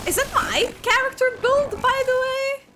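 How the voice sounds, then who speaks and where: A woman's voice: extremely high pitch, steady tone, moderate pace, very noisy. A woman streamer giving a casual, exclamatory reaction as she plays a video game, cheering herself on. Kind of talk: excited gameplay reaction, casual chat.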